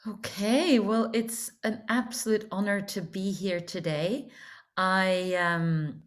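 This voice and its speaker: A woman from Norway speaks in a calm, gracious way as a panel guest. She is on a video call and restarts her sentences now and then. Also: mid-range voice, takes it slowly.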